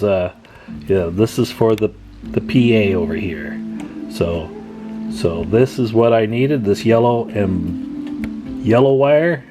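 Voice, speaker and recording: A man's voice: mid-range voice, mellow. A man giving a casual, hands-on demonstration as a hobbyist video host, speaking informally and folksily to his viewers. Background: plucked guitar music.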